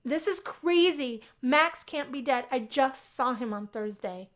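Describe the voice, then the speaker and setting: Woman acting shy college girl, high bright voice, anxious, easily flustered, visual novel heroine. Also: expressive, unhurried. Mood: shocked, flustered. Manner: flustered, stammering when upset.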